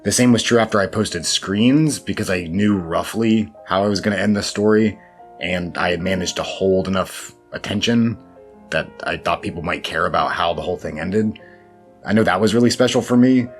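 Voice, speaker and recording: A man giving reflective interview answers in a thoughtful, self-deprecating way with wry asides. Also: deep voice. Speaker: interview guest, horror fiction writer. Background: background music.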